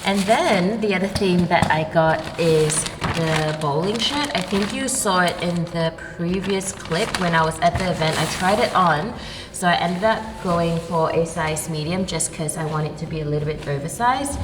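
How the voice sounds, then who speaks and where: A woman's voice: fairly low pitch, moderate pace, background noise. A woman from Malaysia chatting casually and enthusiastically to camera as a vlogger.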